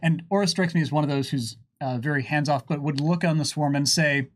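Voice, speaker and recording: A man answering viewer questions in a casual livestream chat, with playful, animated asides. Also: on the high side, brisk.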